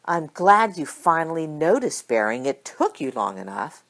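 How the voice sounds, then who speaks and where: Woman voicing a low, stern male law professor. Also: sing-song, moderate pace, vocal fry, fairly bright tone, full character voice. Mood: stern, encouraging. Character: tough, knowing older male law professor, sternly encouraging.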